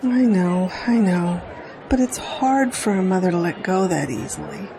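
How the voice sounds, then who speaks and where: A woman's voice: fairly low pitch, normal speed, natural voice. An actress playing a loving middle-aged mother in an audio drama, speaking wistfully. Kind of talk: scripted audio drama dialogue. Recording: noisy outdoor crowd behind voice.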